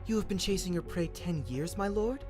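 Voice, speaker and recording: A man voicing a polite, flattering young princess with a steely streak in an audio story. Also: high-pitched, normal speed, faint music behind, voice pushed up, natural voice.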